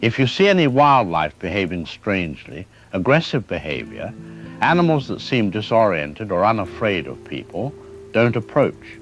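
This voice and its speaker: A man playing a careful, deliberate wildlife expert doctor interviewed on the news in an audio drama. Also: on the low side, very animated, faint music behind, rough recording. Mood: measured concern. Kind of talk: broadcast interview acting.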